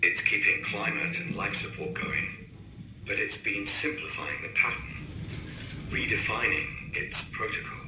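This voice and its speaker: An actor playing a grave, worried male scientist recording a deliberate, ominous audio log in a game. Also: fairly low pitch, steady tone, vocal fry. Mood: grave, measured. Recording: echoey room with faint noise.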